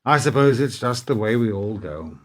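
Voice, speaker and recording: An actor playing a weary, reproachful middle-aged business partner in a radio play, speaking in an earnest, measured way. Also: male voice, medium pitch, expressive, lightly acted. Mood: weary, resigned.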